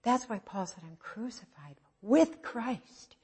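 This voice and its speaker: A woman with a low voice preaching an informal, earnest sermon, swinging from loud to soft. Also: expressive, slow, husky.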